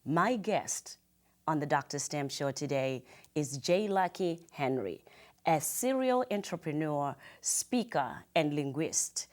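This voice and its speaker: Low-voiced woman from Zimbabwe hosting. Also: animated, slow, a little mellow. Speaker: host. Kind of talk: talk show hosting.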